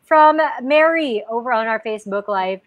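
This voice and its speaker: A woman's voice: on the high side, animated, moderate pace, no echo. A woman hosting a radio show, upbeat and teasing as she reads out fan questions. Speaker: radio host.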